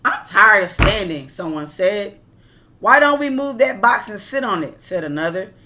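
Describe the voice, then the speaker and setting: A woman's voice: medium pitch, big pitch swings, moderate pace, background noise. A woman reading a picture book aloud like a teacher, expressively, with casual asides that explain and question her listeners.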